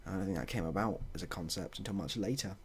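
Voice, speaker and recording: A man playing a mild, matter-of-fact polar bear in a storybook tale, hesitant and deadpan. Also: deep voice, fast talker. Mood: mild, pondering. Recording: clearly audible background noise.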